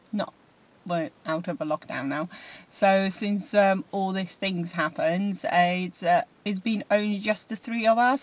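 A woman in her forties chatting casually to camera from inside a parked car, rambling with many fillers and restarts. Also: mid-range voice. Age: 40s. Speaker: vlogger. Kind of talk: casual food diary chat.

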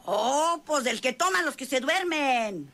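A woman's voice: high voice, expressive, a little slow, fairly bright tone. A woman acting a sassy, teasing ranch servant and folk healer with a rural northern Mexican accent, in an old-fashioned radio drama. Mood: sly, teasing.

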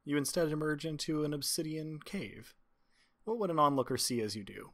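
A man working as game master, narrating scenes in measured, literary phrasing with deliberate pauses. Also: a little high, expressive, fairly bright tone. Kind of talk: descriptive tabletop game narration.

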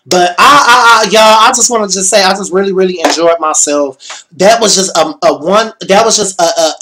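Hyped young guy on a webcam, bit hoarse, cussing and repeating himself. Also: high-pitched. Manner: animated, swears a lot, repeats himself. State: slightly hoarse. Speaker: vlogger. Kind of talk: excited concert reaction vlog. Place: small bedroom.

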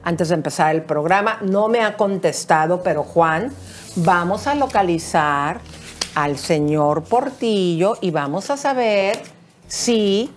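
A woman's voice: lowish voice, animated, slow, slight background noise. A middle-aged woman with a Mexican accent, co-hosting a celebrity gossip show from a TV studio, talking straight to viewers in a chatty way with fillers. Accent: Mexican Spanish. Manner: conversational, addresses viewers as comadres, fillers eh and este. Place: bright TV studio set.

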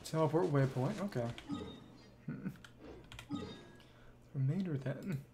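A man's voice: on the high side, takes it slowly, noisy background. A man streaming a video game, giving casual live commentary in short fragments, muttered to himself.

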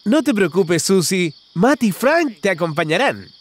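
A man's voice: high voice, big pitch swings, measured pace, slight background noise. A man narrating a children's cartoon in an exaggerated, singsong way, talking to the characters.

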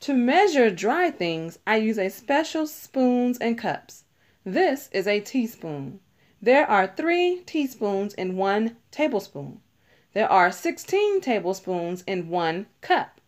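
A woman narrates a children's picture book in a mid-pitched voice. Her delivery is unhurried and instructional, with very varied intonation. She places clear emphasis on the important words. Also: big pitch swings. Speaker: read-aloud narrator.

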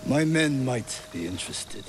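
An actor playing a proud, guarded mercenary leader in a video game, with a wry manner. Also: male voice, mid-range voice, lively intonation, a little slow, lightly acted. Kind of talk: video game drama acting. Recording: loud game music under dialogue.

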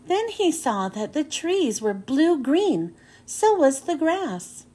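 A woman's voice: sits high, very animated, takes it slowly, warm tone. A woman reads a children's storybook aloud, phrasing carefully and expressively for young listeners. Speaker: children's book reader and host.

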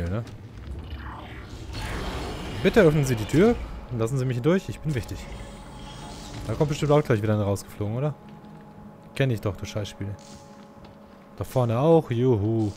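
Male let's player, mid-range voice, casual sarcastic muttering over clear game noise. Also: mid-range voice. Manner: sarcastic, grumbling asides. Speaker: let's play host. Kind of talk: casual gameplay commentary, muttering to himself.

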